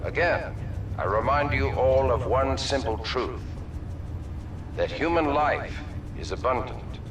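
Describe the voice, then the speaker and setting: An actor playing a cold, ruthless military leader in a video game, delivering a measured, pompous speech with emphatic pauses. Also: male voice, mid-range voice, animated. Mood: grave, emphatic. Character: cold, ruthless male military-corporate leader, grandiose villain. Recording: echoey hall, distant mic, music behind.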